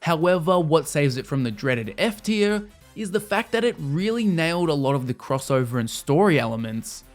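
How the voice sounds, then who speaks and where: A man with a slightly high voice narrates a scripted video game review. He explains things in a measured way and gives his opinions plainly. Music plays faintly under his voice. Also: expressive.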